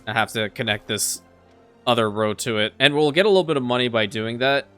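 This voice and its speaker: A man's voice: medium pitch, a little fast, bright, forceful tone, smooth, faint music behind. A man whose first language is Farsi, chatting casually as he plays a city-building game, often repeating a word as he restarts a sentence. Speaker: let's play creator. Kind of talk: casual gameplay commentary.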